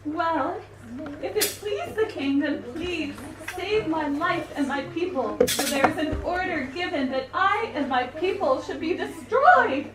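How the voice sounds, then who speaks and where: A woman's voice: very high voice, animated, unhurried. A woman voicing an earnest young queen in an amateur puppet show. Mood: pleading. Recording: echoey room, distant mic, loud background noise.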